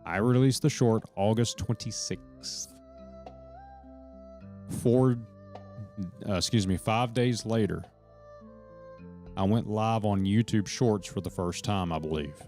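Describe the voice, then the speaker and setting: A man's voice: on the low side, takes it slowly, pauses often, mellow, faint music behind. A man chatting to viewers on his live stream, rambling from point to point, swearing freely and addressing people in the chat directly. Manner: rambling, profane, jumps between points; talks to chat members directly.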